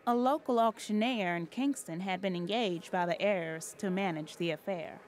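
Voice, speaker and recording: A woman playing the narrator of a ghost story audio drama, telling it in a measured, literate way. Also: medium pitch, a little mellow, natural voice. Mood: composed.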